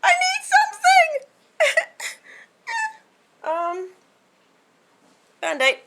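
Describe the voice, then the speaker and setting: Croaky woman vlogging at home, rambles a lot, bright voice. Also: on the high side, a little hiss or hum. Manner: rambling, chatty, many fillers and restarts. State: hoarse, croaky from a sore throat. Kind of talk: casual chat to camera.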